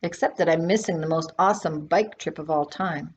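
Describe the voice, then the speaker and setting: A woman's voice: low voice, a little fast, lo-fi sound, a little hiss or hum. A woman reading a storybook in the part of a resigned, politely reluctant thirteen-year-old girl.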